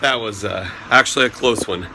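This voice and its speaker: Hoarse man, bright forceful tone, rambling vlog chat, phone camera, faint background noise. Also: on the low side. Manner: rambling, chatty, quick to laugh. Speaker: vlogger. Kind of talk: casual daily vlog chat.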